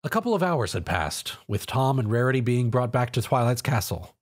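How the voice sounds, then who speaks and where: A man reading an action story aloud as a scripted narration in an animated way. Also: mid-range voice, brisk.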